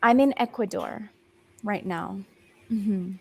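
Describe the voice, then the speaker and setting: A woman's voice: mid-range voice, vocal fry, darkish tone, slightly rough. A young Australian woman tutoring English over a video call, patient and encouraging, rephrasing often with lots of ums. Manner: patient and encouraging, slows down and rephrases, many um fillers. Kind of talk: one-on-one language lesson over video call.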